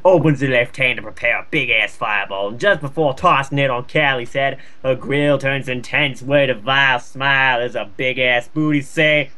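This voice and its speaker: A man's voice: medium pitch, moderate pace, hardly any pauses, pushed and bright. A man reading fan fiction aloud with profane, sarcastic, mocking commentary.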